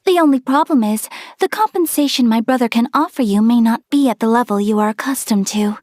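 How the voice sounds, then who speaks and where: A young woman playing a refined, gracious noblewoman in a video game, speaking in formal, politely measured diction. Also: fairly high pitch, a little mellow, dry recording, studio quality, natural voice. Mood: poised, courteous. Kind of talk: video game character voice lines.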